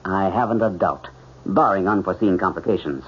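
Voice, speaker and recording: An actor playing a principled, lovelorn surgeon, measured and formal, in 1970s radio drama style. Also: male voice, deep voice, slightly rough. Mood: courteous, confident. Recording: dull, band-limited radio drama recording.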